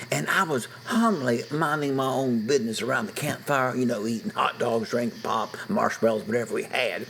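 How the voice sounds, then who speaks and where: A man's voice: mid-range voice, creaky, a bit bright, slight background noise. An older man from West Virginia, telling a folksy true story from his childhood, chuckling often and dropping to a whisper for suspense.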